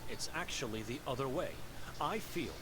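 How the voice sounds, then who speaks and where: Clear noise runs behind an earnest, devout investigator played by a man in a video game. He speaks at a middle pitch, polite and formal, with a questioning, principled air. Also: lively intonation, moderate pace, natural voice. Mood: indignant. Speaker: game voice actor. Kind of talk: dramatic video game dialogue. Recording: noisy game audio.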